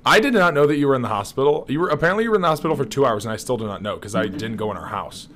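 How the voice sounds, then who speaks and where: A man's voice: mid-range voice, expressive, rapid-fire, a bit bright, slight background noise. A young man hosting a casual podcast chat with his roommates, talking animatedly and laughing often. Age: young adult. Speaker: podcast host.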